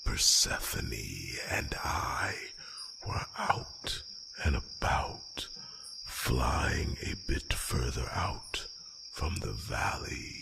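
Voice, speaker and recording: A man playing a grave, slightly grumpy old dragon in a fantasy roleplay audio drama, speaking slowly and solemnly in a breathy rasp. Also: rumbling low, animated, exaggerated voice. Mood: reflective storytelling. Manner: slow, halting, solemn delivery. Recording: loud noise bed of night crickets.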